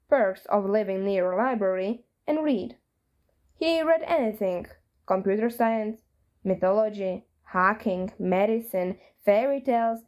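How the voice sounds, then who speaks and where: A woman's voice: on the high side, darkish tone. A woman reading a story aloud as a scripted narration. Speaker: fan fiction reader.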